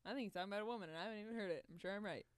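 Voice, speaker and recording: A woman's voice: medium pitch, rapid-fire, no room sound. A young woman chatting casually between songs as a co-host and singer-guitarist, speaking into a stage microphone. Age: young adult. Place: small curtained stage. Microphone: stage microphone on stand. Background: acoustic guitar.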